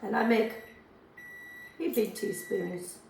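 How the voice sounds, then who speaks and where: A hoarse woman, chatting homely and rambling as she demonstrates a recipe in her home kitchen. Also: medium pitch, slow pace, distant mic, background noise. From Azores. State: hoarse from a lingering cough. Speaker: cooking channel host. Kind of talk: casual cooking demonstration. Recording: roomy kitchen, voice off-mic.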